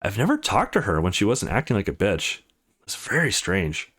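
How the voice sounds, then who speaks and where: A man's voice: on the low side, wildly swooping pitch, a little fast, a bit bright, breathy edge, exaggerated voice. A man voicing a laid-back but defiant teenage schoolboy with a casual, slangy manner in a visual novel. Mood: puzzled.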